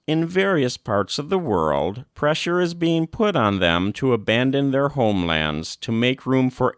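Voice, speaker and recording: A man reading a formal document aloud as scripted narration, in a measured, phrase-by-phrase delivery. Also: fairly low pitch, fairly bright tone, dry recording, studio quality. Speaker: audiobook reader.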